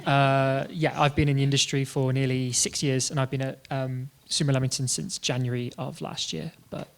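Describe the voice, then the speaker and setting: A man introducing himself on a panel, jokey and relaxed. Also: medium pitch, moderate pace, gravelly. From Devon, England. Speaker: panelist, community manager. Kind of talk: panel talk to an audience.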